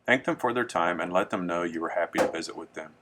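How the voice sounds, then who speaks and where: A man reads scripted instructional narration as a training presenter, in a measured, formal manner. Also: sits low, noisy background.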